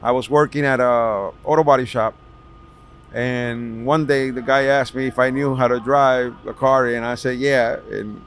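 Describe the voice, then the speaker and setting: A middle-aged New man with a mid-range voice reminisces casually as an interview guest. He speaks haltingly, repeating words, with clear vehicle noise around him at an event. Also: mid-range voice, fairly even intonation. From New York City, Washington Heights. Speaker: podcast guest, veteran street racer.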